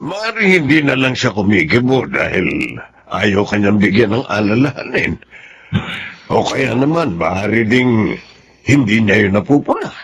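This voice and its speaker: A man playing a concerned, advising uncle in a Filipino radio drama, husky, with a Filipino accent. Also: fairly low pitch, very animated, measured pace, exaggerated voice. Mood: grave, advising. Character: concerned, advising uncle, a well-off older gentleman.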